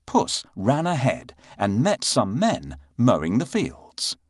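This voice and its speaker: A man narrating a scripted children's bedtime fairy tale with expressive, dramatic delivery. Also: medium pitch, takes it slowly, slightly rough, no echo, clean studio sound. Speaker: storyteller narrator.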